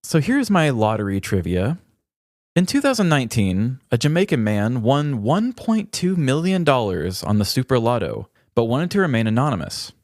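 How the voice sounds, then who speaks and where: A man's voice: medium pitch, sing-song, normal speed, darkish tone, no room sound, clean studio sound. A man co-hosting a podcast in a casual, chatty style. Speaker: podcast co-host. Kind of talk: casual chat with trivia.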